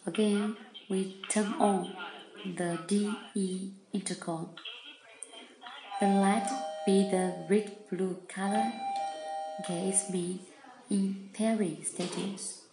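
A woman with a non-native English accent giving step-by-step product instructions in halting, simple phrases, often repeating herself. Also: medium pitch, steady tone, music in the background. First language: not English. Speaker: product demonstrator. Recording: echoey room with background noise.

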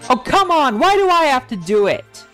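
A man's voice: high-pitched, expressive, normal speed, a bit bright, soft background music, voice pushed up. A man voicing a cheeky, carefree young cartoon tank engine with breezy, whiny protests. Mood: sulky. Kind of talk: fan-made children's train story.

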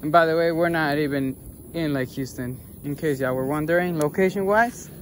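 High-pitched American man chatting outdoors. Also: normal speed, a little hiss or hum. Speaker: fishing vlogger. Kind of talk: casual chat while fishing. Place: outdoors on a grassy pond bank.

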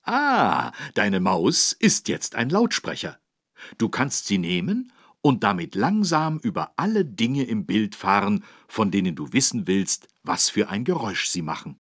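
A man with a low, husky voice narrates for young children. His delivery is lively and encouraging, carefully phrased, and recorded in a dry studio. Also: unhurried, dry recording. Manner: clearly phrased, encouraging, animated. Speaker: narrator of a children's learning game.

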